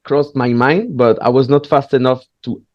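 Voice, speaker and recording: A man's voice: mid-range voice, dry recording. Guy chatting in english, lots of uhs and restarts, dry slightly muffled sound. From France. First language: French. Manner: hesitant, frequent pauses and restarts, candid. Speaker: guest, stand-up comedian. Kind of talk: casual interview chat.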